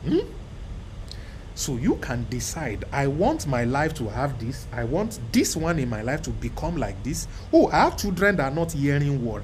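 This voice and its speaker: A man giving a motivational talk, emphatic and repetitive, breaking into sudden loud exclamations. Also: mid-range voice, background noise. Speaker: motivational speaker.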